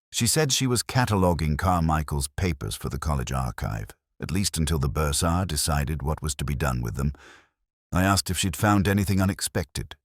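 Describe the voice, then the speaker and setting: A man reading a scripted first-person detective story in a measured, literary, reflective manner. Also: a bass voice, dry recording, clean studio sound. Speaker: narrator.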